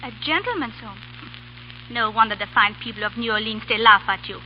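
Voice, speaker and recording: Lady doing a high, sassy young castaway, old muffled radio. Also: animated, natural voice. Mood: defiant. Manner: halting, accented, saucy. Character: spirited young immigrant woman, defiant and sharp-tongued. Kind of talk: 1930s radio drama acting. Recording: muffled old radio broadcast with faint hiss.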